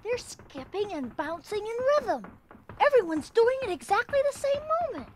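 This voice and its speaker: Woman doing a curious gutsy kid, high lively voice, radio play. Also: moderate pace, heavy background noise. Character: curious, bold, polite young child. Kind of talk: audio drama acting.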